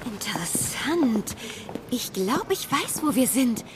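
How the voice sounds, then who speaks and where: A high-voiced, clever girl. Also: sing-song, slow, heavy background noise. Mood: thoughtful. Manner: thoughtful, explaining. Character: clever, polite young girl adventurer. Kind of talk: children's audio drama acting.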